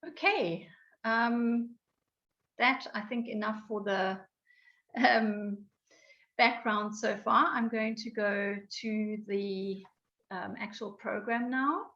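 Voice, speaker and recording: Croaky woman presenting. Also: mid-range voice, takes it slowly, sharp tone. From South Africa. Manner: explanatory, many ums and restarts. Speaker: software trainer. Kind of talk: online training presentation. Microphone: video call. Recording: dull lo-fi online call audio.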